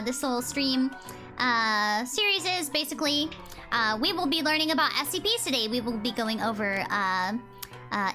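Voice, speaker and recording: A young woman streaming live, chatting with her viewers and reading documents aloud, her voice slightly hoarse, giggly and stumbling over words. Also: a little high, bright, forceful tone. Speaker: VTuber streamer.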